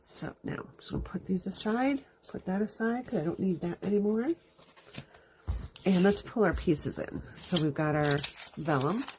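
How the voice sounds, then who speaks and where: A woman's voice: on the low side, normal speed, a little mellow, slight background noise. Stuffy-nosed woman demonstrating crafts. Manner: chatty, explains each step as she works. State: stuffy from allergies, sniffling. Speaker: crafting host. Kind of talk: live card-making demonstration. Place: home craft room. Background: dog dragging its bed around.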